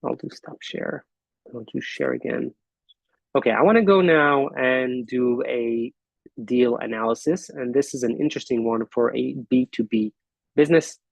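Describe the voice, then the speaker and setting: A man hosting an online business forum, talking casually and haltingly while he walks through his shared screen on a video call. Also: mid-range voice, gravelly, no echo, highs cut off. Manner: halting, thinking aloud with fillers and restarts.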